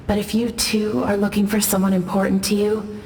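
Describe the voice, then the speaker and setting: An actress voicing a gentle, patient young woman who has waited a very long time, speaking softly, calmly and reflectively in a video game. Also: mid-range voice, a little hiss or hum, close to an everyday voice. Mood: wistful. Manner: calm, slow and reflective.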